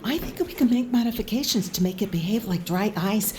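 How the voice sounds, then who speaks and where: A woman's voice: mid-range voice, lively intonation, brisk, warm tone, natural voice. An actress playing a keen, polite woman inventor in an audio drama, earnest and formal. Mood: eager. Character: keen, polite female inventor of stage effects.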